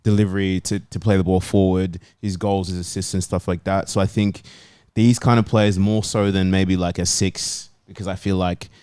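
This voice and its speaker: A man's voice: deep voice, level tone, normal speed, few pauses, darkish tone, clear, resonant tone, dry recording, studio quality. A man giving his opinion in casual chat as a football fan panellist.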